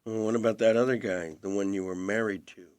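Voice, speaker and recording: Actor as a quietly intense estranged older brother. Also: male voice, lowish voice, steady tone, brisk, clean tone, natural voice. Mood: dry, probing. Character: charming, self-blaming movie star, estranged older brother. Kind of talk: intimate audio play acting.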